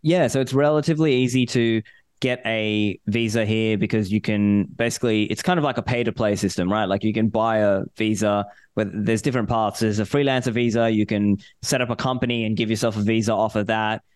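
A man raised in Australia chatting as a podcast guest, relaxed and thoughtful, with fillers and restarts. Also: fairly low pitch, brisk, clean tone, dry recording. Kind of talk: casual interview chat.

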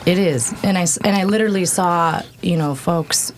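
Female guest, 30s, low voice, frank and reflective, filler-heavy. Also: normal speed, a little hiss or hum. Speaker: guest, pole dance school founder. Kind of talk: candid interview talk.

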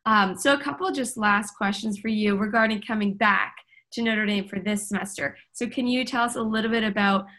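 A woman's voice: medium pitch, fairly even intonation, brisk, sharp tone. A young woman hosting a student podcast, asking questions in an upbeat, encouraging way. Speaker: podcast host. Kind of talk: interviewing students.

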